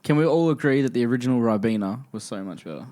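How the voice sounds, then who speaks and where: A man's voice: mid-range voice, lively intonation, quick pace, mellow. A man in his mid-twenties with an Australian accent, chatting casually as a co-host, explaining things and laughing easily. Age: 20s. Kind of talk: casual chat.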